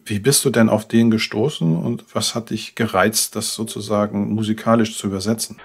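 A man's voice: lowish voice, steady tone, normal speed, gravelly, breathy edge. A man, hosting a show and putting conversational questions to an interview guest. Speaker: radio show host, interviewer.

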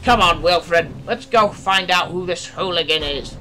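A blustering storybook railway boss as a man acts him out. He exclaims loudly and commandingly, pitched high, over clear music. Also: a little slow, low-quality recording. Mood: alarmed, commanding. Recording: music behind the voice.

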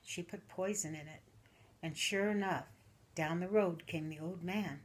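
An older woman, a grandmother, telling a folksy story at a slow, animated pace in a living room, recorded by the camera. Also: lowish voice, slightly rough.

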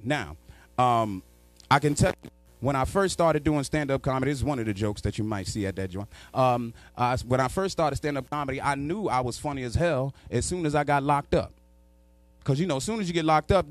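Casting: man in his thirties, stand-up comic from New York, loud and animated, handheld mic in a dry studio. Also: a little high, no echo. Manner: loud, animated, shouts punchlines. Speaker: stand-up comedian. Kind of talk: stand-up routine. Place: small studio with sponsor backdrop. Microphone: handheld microphone.

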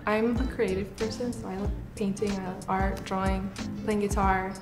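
A woman's voice: mid-range voice, little pitch movement, moderate pace, reverberant, music in the background. A young woman from California introducing herself in a friendly, upbeat way on a dating show. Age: young adult. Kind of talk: casual getting-to-know-you chat.

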